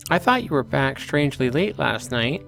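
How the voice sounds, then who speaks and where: A man's voice: mid-range voice, big pitch swings, moderate pace, creaky, fairly bright tone, soft background music, voice pushed up. A man voicing a doting, teasing middle-aged mother in a visual novel, coddling her child. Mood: teasing, fond. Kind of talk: visual novel character reading.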